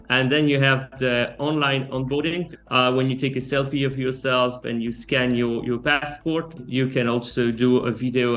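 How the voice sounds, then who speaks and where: French-accented man, halting expert talk. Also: mid-range voice, fairly bright tone. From French-speaking Switzerland. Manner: halting, frequent restarts and fillers. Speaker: guest expert. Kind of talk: interview answers.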